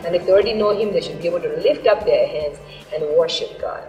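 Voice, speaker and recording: A woman's voice: fairly low pitch, fast talker, warm tone. A woman from Malawi whose first language is Chichewa, a gospel singer talking earnestly and eagerly about her music, with frequent fillers. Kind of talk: promotional talk to camera. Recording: roomy sound from far off with faint music.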